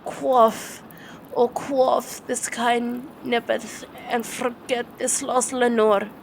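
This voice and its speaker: A woman's voice: fairly high pitch, little pitch movement, extremely slow, vocal fry, a little hiss or hum, heavily acted, low-quality recording. A woman voicing an anguished, grief-stricken man in a dramatic gothic poetry recitation, stumbling over words.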